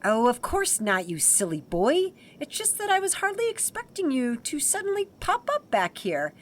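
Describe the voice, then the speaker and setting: A woman's voice: sits high, huge pitch swings, moderate pace, a little hiss or hum. An actress playing a fussy, doting middle-aged mother in a 1920s audio drama.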